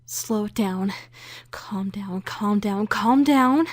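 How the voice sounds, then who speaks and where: A woman's voice: mid-range voice, very slow, no echo. A woman playing an anxious young female trainee, earnestly narrating her own thoughts in a visual novel fan dub. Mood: shaken, anxious. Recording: music under a close, dry voice.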